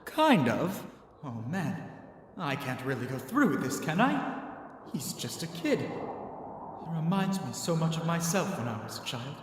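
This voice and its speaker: A man playing a conflicted, gentle servant. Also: fairly high pitch, very animated, a little slow, background noise. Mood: regretful. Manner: hesitant, gentle. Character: conflicted loyal servant with a conscience. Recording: echoey hall heard from far off.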